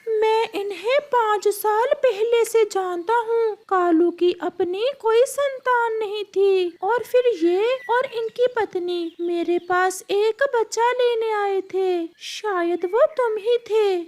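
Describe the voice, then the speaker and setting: Orphanage keeper bird for a cartoon, played by a woman, very high voice, knowing, frank and emphatic. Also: takes it slowly, few pauses, a little mellow. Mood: frank, revealing. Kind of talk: Hindi cartoon story acting.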